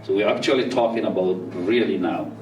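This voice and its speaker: A man from Somalia giving a public talk in a slow, halting way, with many fillers and restarts. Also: on the low side, darkish tone, recorded from a distance, dull audio, slight background noise. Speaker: speaker at a community talk. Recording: roomy, off-mic, faint music behind.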